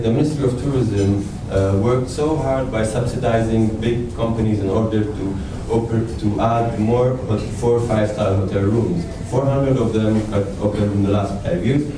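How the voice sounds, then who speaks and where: An earnest man from Nazareth pitches his business and keeps restarting his phrases. His voice sits somewhat low and sounds far from the mic. The room is very echoey and has clear noise behind him. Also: fairly even intonation, moderate pace, few pauses. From Nazareth, Israel. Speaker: startup founder pitching.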